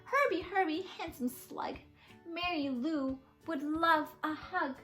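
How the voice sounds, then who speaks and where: A woman voicing a lovestruck female slug from a storybook, sweet and yearning, reciting love verse in a drawn-out sing-song. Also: high-pitched, fairly bright tone, faint music behind, pitched above the performer's own voice.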